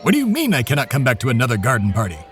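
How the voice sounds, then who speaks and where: A man's voice: mid-range voice, wildly swooping pitch, racing, over music. A man playing a pompous, shameless, self-important podcast host in a comedic audio drama, with grandiose, formal diction. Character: pompous, shameless, self-important male talk-show adventurer, embodiment of America.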